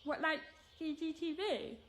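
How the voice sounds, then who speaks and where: A woman's voice: high voice, unhurried, fairly bright tone. A woman playing a curious, blunt and persistent deaf schoolgirl in a naturalistic radio drama.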